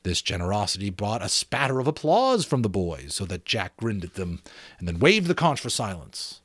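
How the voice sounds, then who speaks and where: A man's voice: lowish voice, normal speed, slightly rough, no echo. A middle-aged man reading a story aloud as an amateur narrator, in a dramatic, theatrical style. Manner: theatrical, expressive.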